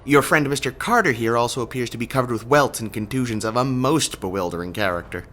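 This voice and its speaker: A man's voice: medium pitch, quick pace, a bit bright, natural voice. An actor playing a formal, puzzled, politely inquisitive male hospital nurse in a radio drama. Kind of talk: radio horror drama acting.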